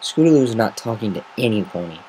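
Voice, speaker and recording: Low-voiced man reading a story expressively. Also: sing-song, quick pace, darkish tone, slight background noise, low-quality recording. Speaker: amateur fan fiction reader. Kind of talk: semi-dramatic story narration.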